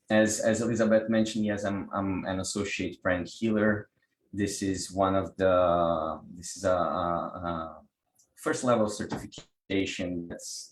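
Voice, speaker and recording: Brazilian-accented male presenter, very deep, halting delivery, roomy video-call sound. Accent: Brazilian Portuguese. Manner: hesitant, many restarts and repeated words. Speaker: workshop presenter, pranic healer. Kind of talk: online workshop talk.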